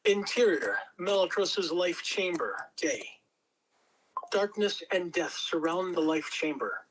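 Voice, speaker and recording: A man's voice: on the high side, a bit bright, a little hiss or hum, rough recording. A man reading stage directions as the narrator at a table read of a fantasy script.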